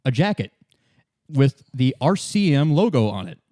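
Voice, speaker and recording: A man's voice: mid-range voice, big pitch swings, moderate pace, dark tone, dry recording. Casual male game master, dry close recording. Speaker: game master, podcast host. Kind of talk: improvised tabletop game narration.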